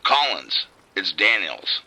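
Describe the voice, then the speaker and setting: Bright-voiced panicked cop man. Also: sits low, big pitch swings, takes it slowly, gravelly, breathy edge, exaggerated voice, rough recording. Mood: urgent. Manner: urgent, swearing, panicked on the radio. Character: male police officer trapped in a casino outbreak. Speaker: police officer. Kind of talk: audio drama acting.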